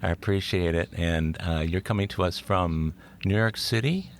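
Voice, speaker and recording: An older man hosting a recovery podcast, reading a measured scripted introduction and then chatting with a guest. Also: deep voice. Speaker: podcast host.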